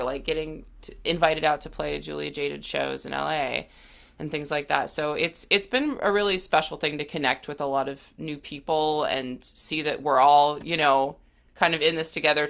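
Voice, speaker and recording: Woman, low bright voice with a creaky edge, earnest interview guest. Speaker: interview guest, performing musician. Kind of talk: casual interview conversation.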